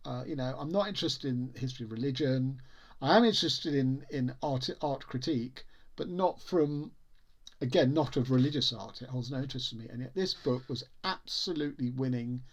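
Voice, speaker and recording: A man with an average-pitched voice talks through his reading in a casual vlog style. His vocabulary is literary and his opinions are firm. He often restarts a phrase or repeats a word while searching for the right one. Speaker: book reviewer. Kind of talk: casual spoken book review to camera.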